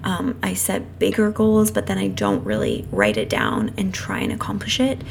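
A woman with a slightly hoarse voice that is starting to go, talking casually and chattily to listeners as a solo podcast host. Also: sing-song, normal speed. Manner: chatty, informal, apologetic asides to listeners. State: losing her voice. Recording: clear background noise, passing truck rumble. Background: noisy truck outside.

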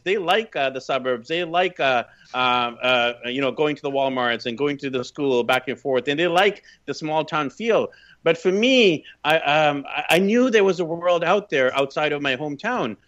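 A man's voice: fairly high pitch, a bit bright. A Canadian man in his thirties telling personal stories as a podcast guest, chatty and emphatic in conversation. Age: 30s. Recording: slightly dull, lo-fi audio.

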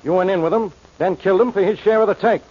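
An actor playing a gruff, stubborn police detective, blunt and bossy, in 1940s radio style on a muffled old recording. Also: male voice, high-pitched, fast talker.

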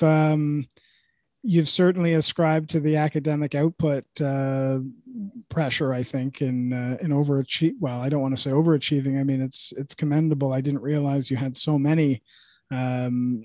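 A man from Canada hosting a podcast, asking questions in a casual, halting way with frequent restarts. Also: medium pitch, little pitch movement, a little mellow, no echo. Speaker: podcast host. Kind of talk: casual interview talk.

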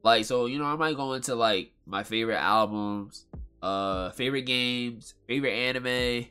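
A man with a bright, nasal voice hosts his own podcast at a home mic. He talks in a loose, rambling, slang-filled way, and faint music sits low behind him. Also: moderate pace, clear, resonant tone. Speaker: podcast host. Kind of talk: casual solo podcast talk.

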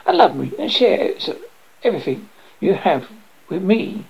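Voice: medium pitch, sing-song, moderate pace, vocal fry, a little mellow, breathy edge, lo-fi sound, pitched above the performer's own voice, exaggerated voice. A storyteller voicing a timid, lonely little male frog with a scratchy, pleading voice.

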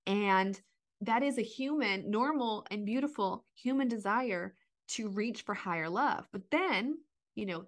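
A woman talking in a spiritual podcast chat, energetic and blunt, swearing casually as she explains her ideas. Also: medium pitch.

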